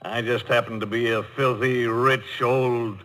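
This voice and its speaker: A man's voice: mid-range voice, flat delivery, unhurried, sharp tone. Blunt, irreverent rich old man. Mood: blunt, wry. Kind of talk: 1950s radio comedy acting. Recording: vintage radio broadcast, faint noise.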